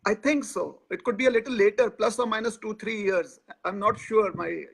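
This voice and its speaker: A man, speaking as a guest in a live interview, pausing often with filler sounds. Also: high-pitched, gravelly, fairly bright tone, breathy edge. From India. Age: 40s. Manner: hesitant, many filler sounds.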